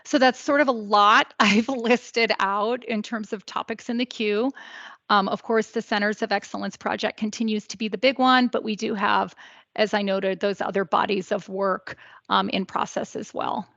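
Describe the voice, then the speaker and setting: A woman's voice: mid-range voice, steady tone, moderate pace, gravelly, fairly bright tone, no room sound. A woman presenting a work report at a board meeting, speaking steadily with frequent ums. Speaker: staff presenter. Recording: dull, dry audio.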